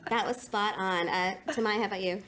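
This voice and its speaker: A woman's voice: medium pitch, fairly even intonation, brisk, fairly bright tone. A woman moderating a conference panel, speaking in an organized way and putting questions to panelists.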